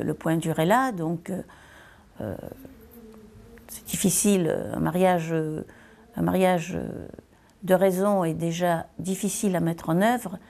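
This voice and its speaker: Low, creaky-voiced woman politician. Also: warm tone. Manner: hesitant, deliberate, emphatic repetition of phrases. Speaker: local elected official, deputy mayor. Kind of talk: political statement, semi-improvised explanation.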